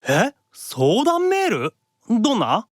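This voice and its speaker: A man playing an anxious, excitable otaku demon brother in an anime audio drama, dithering theatrically. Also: extremely high pitch, slow, exaggerated voice. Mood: awkward, curious. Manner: dithering inner monologue with theatrical outbursts.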